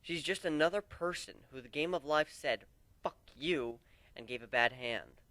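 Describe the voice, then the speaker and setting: A man's voice: a little high, normal speed, bright, forceful tone, no room sound. A man voicing a brash, frank young griffin warrior in a dramatic story reading. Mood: frank, emphatic.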